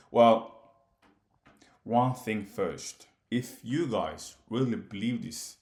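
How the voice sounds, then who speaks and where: A Somali man with a low voice talks slowly and deliberately, firing challenging questions at the listener. He is a native Somali speaker, and his voice rings in an echoey room. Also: a little mellow. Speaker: commentator. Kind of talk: direct-address monologue.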